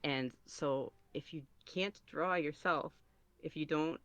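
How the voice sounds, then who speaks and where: A man giving casual podcast interview answers as a guest, halting and thinking aloud as he goes. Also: fairly high pitch, a bit bright, smooth, dry recording, dull audio. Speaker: podcast guest, ASMR roleplay creator.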